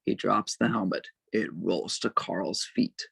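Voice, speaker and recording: A man reading stage directions as narrator at a table read, with measured, dramatic phrasing. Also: low voice, creaky, a bit bright, husky, no room sound.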